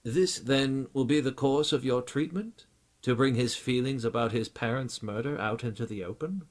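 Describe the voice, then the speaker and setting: A man voicing a dutiful, concerned butler in an audiobook, speaking in a formal, measured and politely reserved way. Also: medium pitch, voice pushed up, close to an everyday voice. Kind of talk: audiobook character acting.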